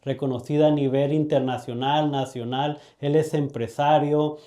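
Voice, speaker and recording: Male interviewer, a bit higher-pitched, mellow tone; friendly, flattering questions, loose delivery. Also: normal speed. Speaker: podcast interviewer. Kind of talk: casual interview talk.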